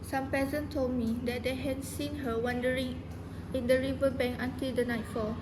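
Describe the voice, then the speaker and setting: Woman acting a troubled villager in a student play, high pitched, lots of background noise. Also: level tone, normal speed, close to an everyday voice. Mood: anxious. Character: troubled villager bearing tragic news. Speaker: amateur student actor. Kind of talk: stage play dialogue, recited.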